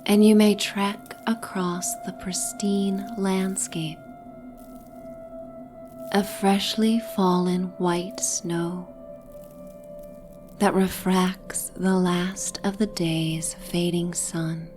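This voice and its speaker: A woman with a soft, breathy, near-whispered voice gently narrating a soothing guided sleep meditation and bedtime story. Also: on the low side, flat delivery, music in the background. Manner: gentle, soothing, hypnotic, with long pauses.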